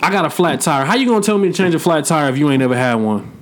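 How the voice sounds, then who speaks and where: A man's voice: fairly high pitch, lively intonation, racing. Blunt, slangy young man talking shop. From Midwest United States. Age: 20s. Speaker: podcast host. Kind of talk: casual podcast chat.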